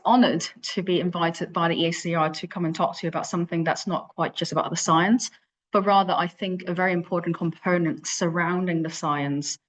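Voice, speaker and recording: A woman from Hong Kong giving a virtual conference talk as an invited researcher, with frequent fillers and restarts. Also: lowish voice. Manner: hesitant, many fillers and restarts. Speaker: invited speaker, lab leader.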